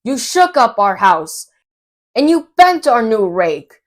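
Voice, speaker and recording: A fussy little storybook fish played by a woman scolds and objects indignantly. The voice is raised in pitch, animated and slow enough for every complaint to land. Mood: scolding. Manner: scolding, exclamatory.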